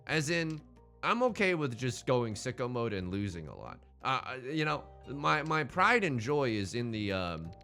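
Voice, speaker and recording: Male let's play host, slangy and chatty, very varied pitch, thinking aloud over light background music. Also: sharp tone. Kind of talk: casual gameplay commentary.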